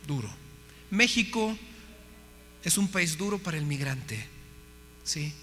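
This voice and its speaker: A man gives a fervent preacher's testimony in a fairly high, bright voice. He keeps turning to his listeners with questions. The sound carries a long hall reverb over a faint electrical hum. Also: animated, slow pace. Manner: impassioned, asks the audience questions. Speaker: missionary preacher. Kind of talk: testimony sermon to a congregation.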